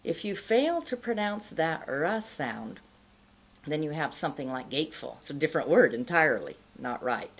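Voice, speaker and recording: A middle-aged woman giving an instructional pronunciation lesson to camera, enunciating deliberately and carefully. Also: deep voice, very animated, breathy edge, rough recording. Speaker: pronunciation teacher.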